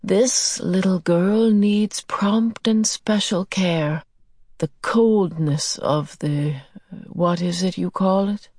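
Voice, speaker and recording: A calm, furry tentacled healer from another world, acted out by a woman reading a storybook. The speech is very slow and careful, gentle in temper, as if feeling out each word. The sound is close and dry. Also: lowish voice, dry recording. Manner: slow, deliberate, groping for words. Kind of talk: audiobook character voice.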